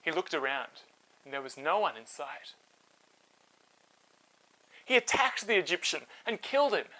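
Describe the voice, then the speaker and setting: Bright, very animated male storyteller. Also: fairly high pitch, measured pace, rough recording. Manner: dramatic, expressive delivery. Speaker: storyteller and host. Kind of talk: animated scripted children's Bible storytelling.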